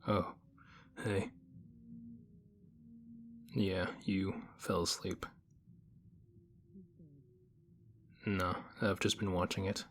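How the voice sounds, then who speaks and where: A man playing a stoic young nerd, reserved and quietly affectionate, speaking in deadpan, measured phrases in an intimate audio roleplay. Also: low-pitched. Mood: gentle, drowsy calm.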